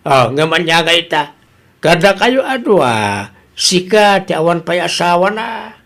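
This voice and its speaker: A man's voice: high voice, very animated, a little slow, creaky, fairly bright tone, full character voice. A man with a Filipino accent playing a father who chides and banters with his grown child in a comedic radio drama. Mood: chiding.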